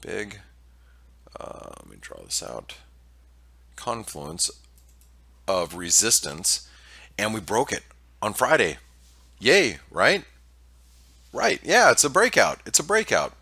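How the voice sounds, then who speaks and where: A man's voice: lowish voice, sing-song, slow, gravelly, long pauses, pushed and bright, husky. A man with a nasal, stuffed-up voice from allergies, giving casual market commentary over a shared screen, full of fillers and restarts. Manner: hesitant, many fillers and restarts. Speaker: market analyst presenting weekly preview.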